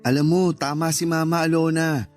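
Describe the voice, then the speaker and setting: A man's voice: a little high, little pitch movement, normal speed, a little mellow, lightly acted. Man acting earnest young husband, lightish voice. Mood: earnest, persuasive. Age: young adult. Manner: earnest, persuasive. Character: earnest young husband, dutiful son, protective. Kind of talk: Tagalog radio drama acting. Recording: music bed under the voice.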